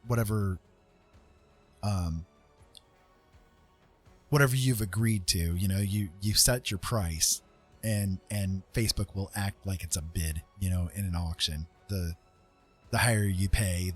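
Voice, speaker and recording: A man with a slightly low, husky voice hosts a casual livestream. He gives advice in a loose, rambling way, checking in often with little tag questions. Music plays in the background. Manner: informal, rambling, often checks in with "right?" and "you know". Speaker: livestream host giving advice. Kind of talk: casual advice chat to viewers.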